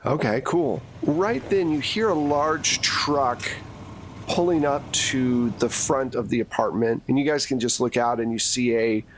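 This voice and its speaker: A man runs a tabletop roleplaying game. He lays out scenes at a slow, measured pace in a medium-pitched voice, conversational and informal as game master. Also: a little hiss or hum. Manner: casual, conversational scene-setting.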